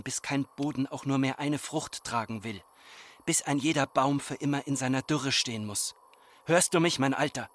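A man's voice: mid-range voice, fairly bright tone, lightly acted. Man playing tense, embittered farmer's son. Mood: reproachful, foreboding. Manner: incantatory, reproachful, deliberate repetition. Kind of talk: literary radio drama acting.

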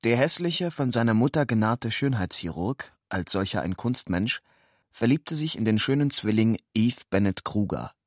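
Lowish-voiced man reading literary prose. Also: animated, normal speed, no room sound, clean studio sound. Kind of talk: literary scripted narration.

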